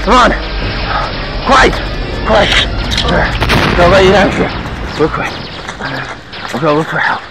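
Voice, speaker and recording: Brief: man as panicked survivor, high lively voice, slow, pleading. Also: vocal fry, over loud music, exaggerated voice, rough recording. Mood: urgent. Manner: frantic shouting and pleading. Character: panicked young male survivor filming the ordeal. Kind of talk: found-footage acting.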